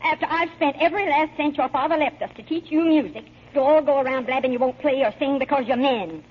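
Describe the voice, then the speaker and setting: Woman acting a bossy, sharp-tongued radio mother. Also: high-pitched, fast talker, natural voice. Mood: scolding, commanding. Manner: scolding, slangy, commanding. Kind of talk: 1940s radio drama acting. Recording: muffled, faintly noisy vintage radio broadcast.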